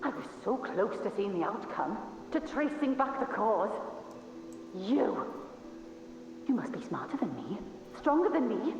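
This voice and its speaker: A woman's voice: medium pitch, very animated, measured pace, pauses often. Intense warrior woman, echoing hall. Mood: anguished, then desperate, unhinged. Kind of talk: dramatic video game acting. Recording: echoey hall, distant and muffled.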